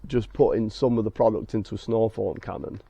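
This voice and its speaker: A man with a croaky, hoarse voice from being ill, hosting a car detailing vlog and explaining each step in a chatty way with many fillers. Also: lowish voice, a little fast, mellow, dry recording. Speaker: car detailing channel host. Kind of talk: casual talk to camera with fillers. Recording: dull lo-fi sound.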